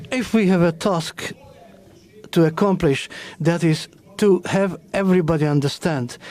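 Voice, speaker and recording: A man addresses a rally crowd, his voice sitting high for a man. He speaks haltingly and keeps restarting and correcting his phrasing as he builds his political points. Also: a little mellow. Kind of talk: formal speech to an audience.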